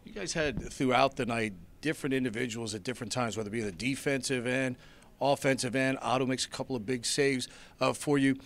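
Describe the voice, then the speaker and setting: Middle-aged sports interviewer guy, smooth long questions, mid-pitch voice, handheld mic. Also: steady tone, fairly quick, slight background noise. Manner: polished, long setup questions. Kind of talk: postgame interview. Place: indoors before a sponsor backdrop.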